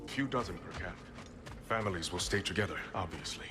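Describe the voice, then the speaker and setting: An actor playing a steady, pragmatic leader in a fantasy video game, plain-spoken, measured and diplomatic. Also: male voice, low voice, over music, close to an everyday voice. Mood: businesslike.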